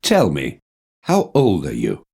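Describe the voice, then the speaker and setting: A man reading lines of English course dialogues with expressive, questioning intonation. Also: very deep voice, slow pace, creaky, breathy edge. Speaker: dialogue reader in a language course. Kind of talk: slow, clearly read textbook dialogue.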